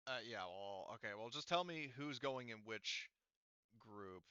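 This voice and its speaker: A man acting as game master, casually narrating and refereeing a tabletop game in a halting, think-aloud way. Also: mid-range voice, animated, pushed and bright, smooth, no echo, dull audio. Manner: halting, thinking aloud while working out details.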